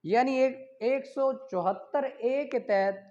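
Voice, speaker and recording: A man with a Pakistani accent teaching an online law class, reading English questions slowly, explaining them in Urdu and calling on students by name. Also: very high-pitched, dark tone, muffled recording. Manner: calls on students by name, brisk and encouraging.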